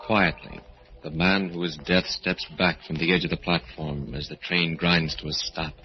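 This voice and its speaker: A man performs as a grave storyteller for radio horror. His deep voice is measured and dramatic. The old recording is dull, with faint music behind. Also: fairly even intonation, lightly acted. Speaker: narrator. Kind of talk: scripted old-time radio narration.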